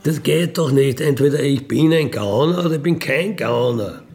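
A middle-aged or older man with a gravelly voice, telling personal stories casually and emphatically in colloquial speech, often checking for agreement. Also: medium pitch, moderate pace, a little mellow, faint music behind. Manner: colloquial, emphatic, seeks agreement with tag questions. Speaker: interviewee, career crook telling his life story.

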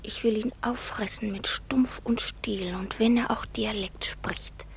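An actress playing a prim young schoolteacher who secretly burns with desire, in a breathy whisper for a literary radio drama. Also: female voice, mid-range voice, fairly even intonation, measured pace. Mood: secretly lustful.

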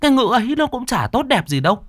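A man's voice: sing-song, fast, fairly bright tone, no room sound, clean studio sound, full character voice. A man voicing grown children who angrily scold their widowed old father in a spoken story. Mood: angry scolding. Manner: shrill, scolding, hectoring.

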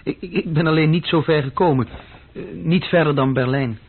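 An actor playing a bored, self-deprecating young male bookkeeping clerk in a radio drama, speaking haltingly. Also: fairly high pitch, breathy edge. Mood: confiding. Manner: halting, searching for words. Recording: muffled old radio recording.

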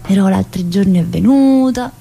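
A chatty, warm-hearted middle-aged prisoner. She talks to her listener in a meandering way, full of little "eh"s, in a voice of ordinary middle pitch. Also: normal speed, creaky, breathy edge. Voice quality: chatty and meandering, studded with "eh" and direct address. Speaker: stage actor in a solo play. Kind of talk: theatrical monologue.

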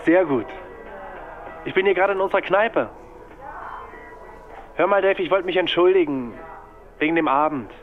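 Chummy nosy pal on a tinny phone line, voice a bit high. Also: male voice, moderate pace, pauses often, soft background music. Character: chummy, casual, nosy male drinking buddy on the phone. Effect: telephone filter. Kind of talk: radio drama acting.

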